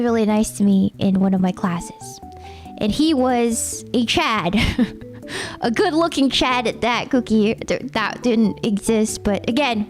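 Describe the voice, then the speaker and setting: A young woman with a Canadian accent chats and rambles on a livestream, talking straight to her viewers. Her voice sits high and leaps around with lively swings in pitch. Soft music plays faintly behind her. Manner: chatty, rambling, addresses viewers as Cookie. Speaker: streamer. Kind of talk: casual chat with chat readers.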